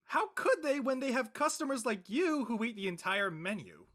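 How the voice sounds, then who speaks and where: Man playing an animated, easygoing young college guy. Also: very high voice, moderate pace, exaggerated voice. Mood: casual, friendly. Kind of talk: casual scripted dialogue.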